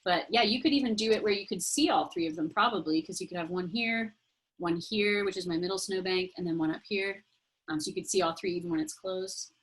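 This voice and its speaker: A woman's voice: lowish voice, fairly quick, breathy edge, poor audio. A woman teaching a casual online craft class over a video call, explaining steps in a chatty way. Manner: chatty, friendly explaining with fillers.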